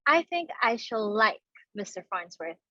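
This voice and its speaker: A woman's voice: fairly high pitch, very animated, unhurried, pushed and bright, no room sound, heavily acted. A woman playing a naive, sweet young society daughter in a stage comedy reading, girlish and ingenuous, in slightly muffled audio. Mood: sweet, hopeful.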